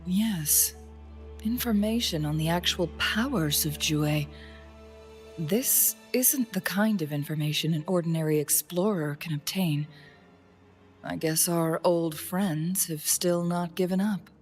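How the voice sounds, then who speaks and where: Woman playing a composed young strategist, calm and measured, soft breathy tone, slow, lowish voice. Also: soft background music, natural voice. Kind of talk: video game cutscene dialogue.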